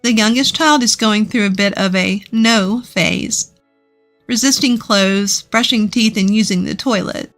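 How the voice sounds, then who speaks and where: A woman's voice: medium pitch, measured pace, a bit bright, soft background music. A woman reading a scripted first-person story as its narrator.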